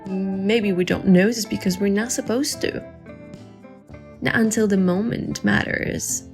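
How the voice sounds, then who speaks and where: A woman's voice: medium pitch, steady tone, a little slow, vocal fry. A woman acting a playful, thoughtful young woman in an intimate bedtime audio drama. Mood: wondering, tentative. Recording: soft guitar music behind the voice.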